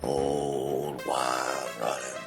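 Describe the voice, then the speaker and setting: Creepy bitter old rustic cult boss, super deep gravel voice, faint music under. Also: male voice, little pitch movement, extremely slow, full character voice. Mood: menacing, mocking. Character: menacing, bitter old male cult leader of quitters. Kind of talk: audio drama acting.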